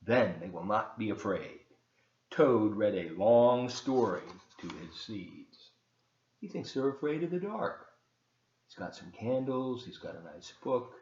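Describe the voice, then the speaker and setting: A man reading aloud to children as a volunteer storyteller, expressive and encouraging, with chatty asides. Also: medium pitch, measured pace, roomy sound, highs cut off.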